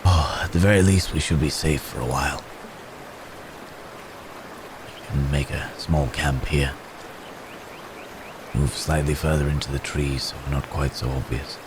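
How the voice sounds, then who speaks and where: Male actor as wounded werebeast in human form, ASMR drama. Extremely deep, gentle, troubled, sighing, old-fashioned wording. Clear rain-like ambience behind. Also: fairly even intonation, noisy background. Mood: relieved, grateful. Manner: halting, formal old-fashioned phrasing, sighing. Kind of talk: ASMR roleplay acting.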